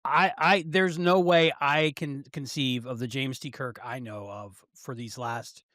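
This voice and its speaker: A man's voice: mid-range voice, fairly even intonation, measured pace, a bit bright, dry recording, studio quality. A man co-hosting a podcast, talking casually as he discusses and reviews a show. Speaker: podcast co-host.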